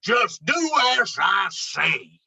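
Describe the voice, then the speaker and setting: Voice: medium pitch, sing-song, drawn-out words, creaky, sharp tone, slightly rough, highs cut off, heavily acted. A woman voicing a bossy, easily panicked male moose foreman in a children's storybook, with dramatic, commanding exclamations. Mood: bossy, impatient.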